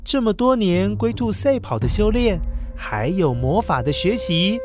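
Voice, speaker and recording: A woman's voice: medium pitch, lively intonation, unhurried, darkish tone, faint music behind. A woman telling a scripted story to young children, speaking expressively and addressing them directly.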